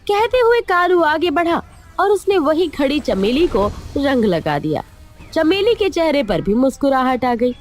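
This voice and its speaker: Animated, high-voiced woman storyteller. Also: a little slow, faint music behind. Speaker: storyteller, narrator. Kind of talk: scripted narration of a cartoon moral story.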